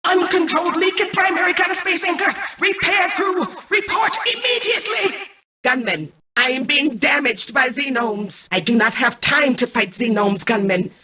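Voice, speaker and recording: A woman playing a cold, imperious mainframe AI in a video game, menacing and calculating, with formal, commanding, measured robotic delivery. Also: high voice, expressive, sharp tone. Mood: urgent, commanding. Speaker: game antagonist turned ally. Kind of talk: scripted video game voice acting. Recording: distant, echoey processed game audio.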